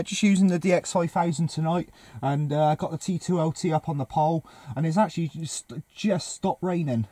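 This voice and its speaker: A man talks casually on a CB radio net. His voice sits a little high and has a soft, mellow tone. He uses operator jargon over the radio.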